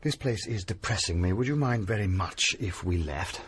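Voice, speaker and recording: Nervous, stammering gentleman. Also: low-pitched, animated, normal speed, slight background noise. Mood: cheerful. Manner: nervous, stammering when scared. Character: fragile, frightened gentleman friend. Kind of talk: period radio drama acting.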